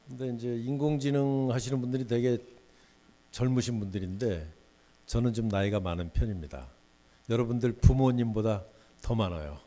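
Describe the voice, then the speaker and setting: A man's voice: mid-range voice, warm tone. A man in his sixties giving a guest lecture in a university hall, chatty and often putting questions to the audience. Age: 60s.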